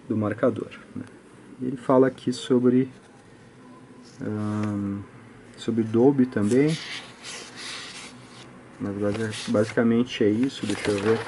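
Brazilian man, soft mellow tone, informal technical tutorial, hesitant step-by-step delivery, faint-to-moderate background noise. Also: medium pitch. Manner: hesitant, step-by-step explaining with frequent check-in tags. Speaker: host of an audio electronics channel. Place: indoors at a work table.

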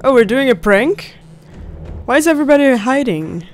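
A young woman giving casual, excited and reactive let's play commentary, close on a studio mic. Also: sits high, normal speed, slight background noise. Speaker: gamer, host of a let's play series. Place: indoors, close at a desk. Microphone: studio mic on a boom arm, headset on. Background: faint game soundtrack.

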